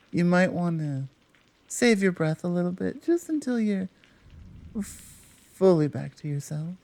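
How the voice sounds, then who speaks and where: A woman playing a tall, powerful, protective Amazon in an intimate ASMR roleplay, talking softly and teasingly with halting pauses. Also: fairly low pitch, gravelly, full character voice. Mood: gentle, caring.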